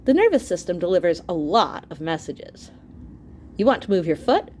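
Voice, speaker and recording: A woman reads an illustrated science book aloud like a teacher to students. Her mid-pitched voice moves up and down in a lively way, and she explains terms as she goes. There is a little background noise. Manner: explanatory, expressive, pausing to clarify terms.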